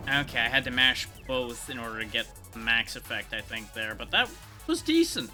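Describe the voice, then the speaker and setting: A man's voice: medium pitch, big pitch swings, normal speed, bright, forceful tone. A man giving casual live commentary on a video game, talking to himself in a wry way. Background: video game music.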